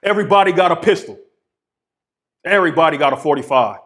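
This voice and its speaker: A young man performing his poetry aloud, chanting repeated phrases with sweeping swings from hushed murmurs to shouts. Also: a little high, lively intonation, fast. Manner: rhythmic, incantatory delivery with chanted repetitions. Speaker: poet.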